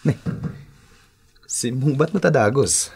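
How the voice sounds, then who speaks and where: A man's voice: medium pitch, lively intonation, slow pace, creaky, a little mellow, slightly rough, exaggerated voice. A man acting an earnest, lovestruck Filipino migrant worker in an Ilocano radio drama. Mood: smitten, musing. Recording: old AM radio drama, music behind.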